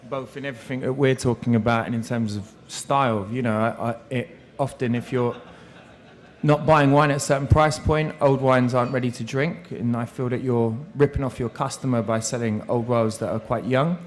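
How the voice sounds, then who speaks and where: A man's voice: mid-range voice, steady tone, normal speed. A man who runs restaurants, speaking earnestly on a seminar panel about his staff and wine sales, with a few restarts. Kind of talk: panel discussion. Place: seminar room.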